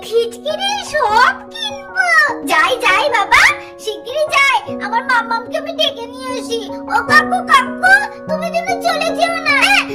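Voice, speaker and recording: Little girl doll, tiny squeaky pitch, childish, pleading, stubborn, cartoon acting, loud background music. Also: exaggerated voice. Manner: childish, wheedling, repeats words.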